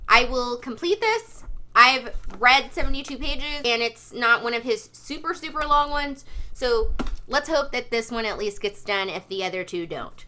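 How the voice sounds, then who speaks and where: A woman with a fairly high, bright and forceful voice speaks in a casual vlog style. She chats informally as a book vlogger, leaning emphatically into key phrases with an animated delivery.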